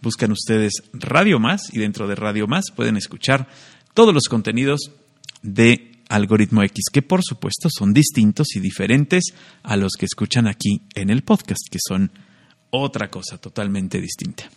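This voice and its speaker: A man's voice: lowish voice, lively intonation, moderate pace. A man co-hosting a podcast, casually announcing where to listen and joking with a guest. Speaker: podcast co-host.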